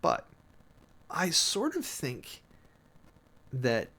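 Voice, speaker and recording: A man with a mid-pitched voice hosts a livestream, moving between formal reading and casual commentary. He talks informally, with halting asides and dramatic emphasis on key phrases. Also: wildly swooping pitch, pushed and bright. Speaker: livestream host. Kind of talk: reading a document aloud with off-the-cuff commentary.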